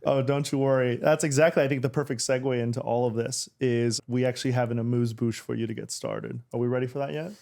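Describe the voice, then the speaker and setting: A man's voice: medium pitch, steady tone, fast talker, gravelly, few pauses, a little mellow. A man working as a chef, describing his dishes to guests in an earnest, detailed and slightly bashful way.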